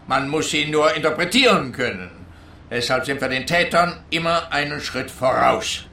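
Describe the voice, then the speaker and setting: A man's voice: on the high side, expressive, normal speed, sharp tone, echoey room, a little hiss or hum. An actor playing a pompous, self-assured male police officer, lecturing pedantically in a comic detective radio play. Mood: indignant, insistent. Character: pompous, self-assured policeman spouting absurd statistical theories.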